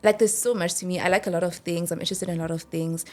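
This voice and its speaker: Young woman, slightly low voice. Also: fairly even intonation, very fast, darkish tone, soft background music. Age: early 20s. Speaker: interview guest. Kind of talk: casual chat, personal reflection.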